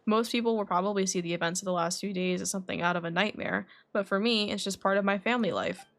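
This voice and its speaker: A woman voicing a composed, scholarly young male alchemist from a video game, reading his dialogue in a measured, formal, reflective way. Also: medium pitch, fairly bright tone, lightly acted.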